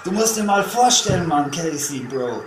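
A man playing a gleeful, trigger-happy trophy hunter in an amateur radio play, chatting in slangy, excitable dude talk. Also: fairly high pitch, normal speed. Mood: exhilarated. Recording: roomy, loud background noise and music.

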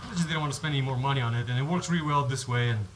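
A man's voice: mid-range voice, rapid-fire, noisy background. Rambling man with an action cam outdoors. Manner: rambling, restarts sentences, explains step by step. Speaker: vlogger. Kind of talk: casual how-to vlog. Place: outdoors on a home driveway by a garage. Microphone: head-mounted action camera.

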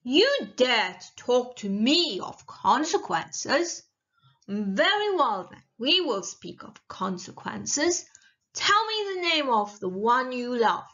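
Woman putting on a cold, scornful old-tale witch, slow and imperious. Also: a little high, sing-song, sharp tone, dull audio, heavily acted. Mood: menacing, imperious. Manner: curt, imperious, deliberate.

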